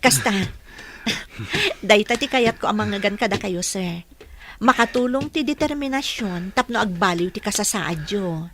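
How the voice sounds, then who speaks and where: A woman playing a patient, dutiful caregiver in a radio drama, speaking politely and gently giving instructions. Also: mid-range voice, lively intonation, normal speed, slight background noise. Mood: encouraging. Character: patient, dutiful young female caregiver coaching a patient. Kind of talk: Ilocano radio drama acting.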